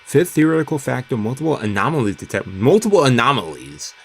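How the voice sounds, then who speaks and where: A man's voice: medium pitch, sing-song, quick pace, a little hiss or hum. A male streamer reading a visual novel aloud expressively, mixing narration with casual, playful reactions. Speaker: let's play streamer. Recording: music playing behind the voice.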